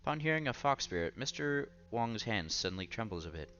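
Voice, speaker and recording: Male let's-player, low forceful voice, casual read-aloud with small stumbles, music behind. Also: very animated, fairly quick, lo-fi sound. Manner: stumbles and repeats words while reading. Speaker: streamer reading a visual novel aloud. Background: game music.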